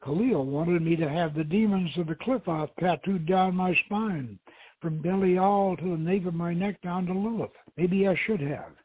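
A man's voice: high voice, normal speed, breathy edge. A man voicing a confident, flirtatious female archaeologist in a teasing, poised way. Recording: tinny band-limited radio stream, roomy echo.

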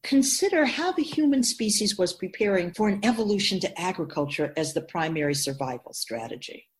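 A woman playing an ageless, wise spirit guide in a radio drama, explaining in a measured, emphatic, instructive way with deliberate pauses. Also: medium pitch, lively intonation, lightly acted. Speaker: teacher figure in a radio drama.

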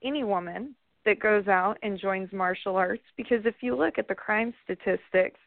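A woman calling in to a radio show with a hoarse voice, speaking earnestly and with passion. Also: mid-range voice, normal speed, dry recording. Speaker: caller. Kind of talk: call-in radio chat. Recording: tinny phone line.

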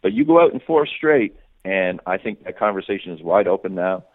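A man's voice: low-pitched, expressive, a little fast, no room sound. A man giving off-the-cuff sports analysis as a guest reporter, often repeating words and restarting his sentences. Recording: muffled phone-like radio line.

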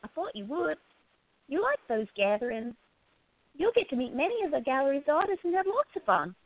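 A woman voicing a quiet, curious gallery worker in a radio play, chatty and gently prodding her friend. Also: high-pitched, big pitch swings, fairly quick, no room sound, voice pushed up. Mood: coaxing. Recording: tinny, phone-like narrow sound.

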